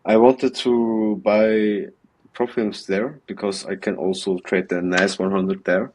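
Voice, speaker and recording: An eighteen-year-old man with an Austrian German accent, answering in a remote interview, halting and hesitant with many ums, on a video call. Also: on the low side, steady tone, a little mellow. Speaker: guest, student trader.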